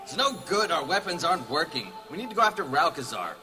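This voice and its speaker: An actor playing a defiant, self-serving thief in a fantasy audio drama, brash and snappish. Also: male voice, fairly high pitch, big pitch swings, fast talker, over music. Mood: impatient.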